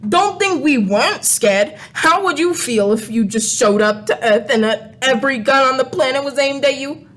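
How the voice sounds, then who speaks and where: Woman acting, alien renegade, very high voice, mocking, scolding, radio comedy. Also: animated, normal speed, fairly bright tone. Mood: smug. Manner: snide, scolding. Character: sassy, mocking alien crayon renegade visiting Earth.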